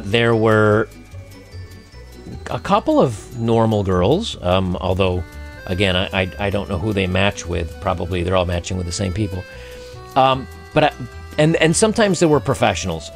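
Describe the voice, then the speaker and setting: A man's voice: mid-range voice, vocal fry. A middle-aged man hosting a casual advice monologue for men, speaking bluntly and conversationally. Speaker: host giving dating commentary and advice to men.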